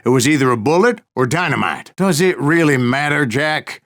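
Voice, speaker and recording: Gravelly old Wild West gunslinger. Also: male voice, fairly high pitch, lively intonation, moderate pace, a bit bright. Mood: irritated, tipsy. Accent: American West. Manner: folksy, salty, cantankerous drawl. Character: gruff, stubborn old Wild West bounty hunter spinning tall tales. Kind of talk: storytelling narration, rambling saloon yarn.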